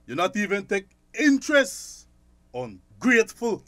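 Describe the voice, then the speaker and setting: A man's voice: sits high, big pitch swings, a little slow, a bit bright, no room sound, clean studio sound, full character voice. A man acting in a radio drama as a stern, scolding middle-aged shopkeeper father with a Belizean Creole accent. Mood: angry, hurt.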